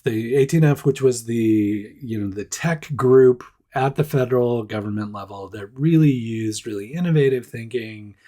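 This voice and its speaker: A man hosting a casual startup news rundown, hoarse and stuffed up with a nasal voice, chatty and self-deprecating. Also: mid-range voice, dark tone.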